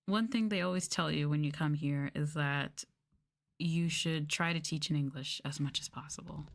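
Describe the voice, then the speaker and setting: A young woman with a low voice talks softly in a casual ASMR stream. She chats candidly, with the odd swear word slipped in. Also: moderate pace, creaky. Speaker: VTuber streamer. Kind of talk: soft-spoken ASMR casual chat.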